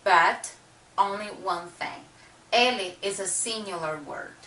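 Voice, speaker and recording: Brazilian-accented woman teacher, bright voice, slow and lively, echoey room, mic set back. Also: mid-range voice, recorded from a distance. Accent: Brazilian Portuguese. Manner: patient, explains slowly and repeats words. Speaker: language teacher. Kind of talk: language lesson.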